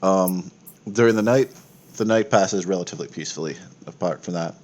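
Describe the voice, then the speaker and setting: A man's voice: sits low, a little fast, a little mellow, a little hiss or hum. A man acting as game master, narrating a tabletop roleplaying game.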